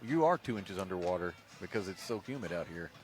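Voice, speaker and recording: A man with an American accent doing live high school football play-by-play, with folksy, joking banter between plays. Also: big pitch swings, fast. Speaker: play-by-play announcer. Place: outdoors at a football game. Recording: noisy outdoor live sports broadcast. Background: stadium ambience.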